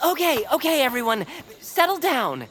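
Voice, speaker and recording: Plucky, very animated youngster. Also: female voice, sits high, moderate pace. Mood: determined. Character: plucky, earnest young playground defender, a peepkin. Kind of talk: comic audio drama acting.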